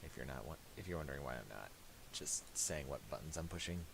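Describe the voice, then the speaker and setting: A man giving a casual, unscripted software tutorial, halting as he thinks aloud while he works. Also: a bass voice, animated, vocal fry. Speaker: tutorial host. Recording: hissy home recording with mouse clicks.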